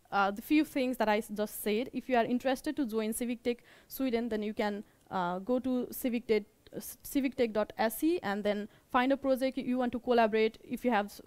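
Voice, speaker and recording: Clear, high-voiced young Nepali woman presenting. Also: a little mellow, no echo. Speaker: community organizer presenting at a meetup. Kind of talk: informal presentation to an audience.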